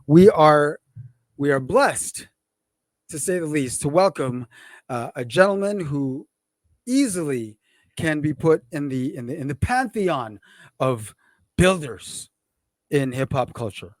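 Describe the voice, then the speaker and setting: A man's voice: a little high, animated, slow, pauses often, no room sound. A man hosting a casual hip hop interview show, emphatic and slangy, repeating phrases for effect. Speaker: podcast and livestream host.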